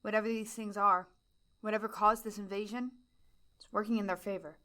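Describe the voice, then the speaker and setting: A woman's voice: mid-range voice, flat delivery, a little fast, bright, forceful tone, natural voice. A woman acting in an audio drama, playing a jaded young woman who speaks with bitter insistence. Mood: grim.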